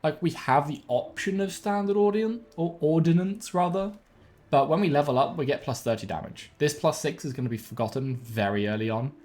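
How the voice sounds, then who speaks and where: A young man streaming a card game from home, thinking aloud in a relaxed way and correcting himself, sounding a bit sniffly and bunged up. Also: on the high side, fairly quick. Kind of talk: gameplay commentary. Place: home room with webcam. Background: faint game music.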